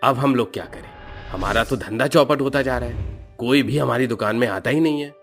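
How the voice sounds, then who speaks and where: A man's voice: medium pitch, fairly quick, a bit bright, soft background music, lightly acted. A man voicing an envious village shopkeeper who grumbles and schemes. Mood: scheming. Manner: grumbling, conspiratorial.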